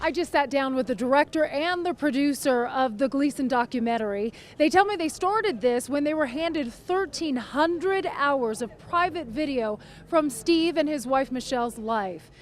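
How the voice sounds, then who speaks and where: A woman TV news reporter giving scripted news narration with a polished, measured delivery. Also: high voice, animated, soft background music.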